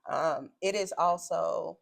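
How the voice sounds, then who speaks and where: A woman's voice: lowish voice, slow pace, gravelly. A woman speaking in a strong voice, making her points assertively in a podcast conversation. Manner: assertive, emphatic, builds points in lists.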